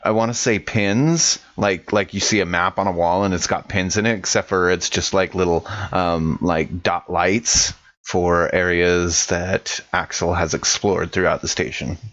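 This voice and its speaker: Man, deep voice, tabletop player, halting, fillers, muffled lo-fi audio. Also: steady tone, fairly bright tone, no echo. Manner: halting, lots of fillers, joking. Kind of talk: actual-play tabletop game. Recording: dull lo-fi audio.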